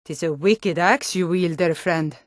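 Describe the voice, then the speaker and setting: An actress playing a gruff, wary Nordic female guard and townswoman in a fantasy video game, speaking bluntly with a Nordic accent. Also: lowish voice, a little slow, dry recording, clean studio sound, close to an everyday voice. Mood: wry, weary.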